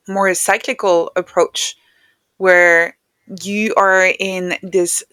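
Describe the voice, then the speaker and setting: A woman with a slightly low voice talks as an interview guest. She explains her thoughts in a reflective, conversational style, recorded dry with very little room sound. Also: fairly bright tone, clean tone, no room sound. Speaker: interview guest, neuroscientist and author.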